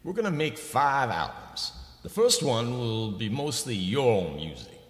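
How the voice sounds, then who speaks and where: A man acts out a slick, greedy record boss from a comic radio play. His pitch leaps all over as he pushes and wheedles like a salesman. The sound is echoey, with long reverb. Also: very animated. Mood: scheming, persuasive. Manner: fast-talking salesman patter with wheedling asides. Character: slick, pushy, greedy male record company executive.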